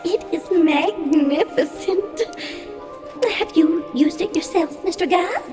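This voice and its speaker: A woman's voice: extremely high pitch, takes it slowly. A woman playing a grand, airy weaver of fate in an audio drama, speaking in a stately, formal way. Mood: stately, admiring. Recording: very echoey space with music.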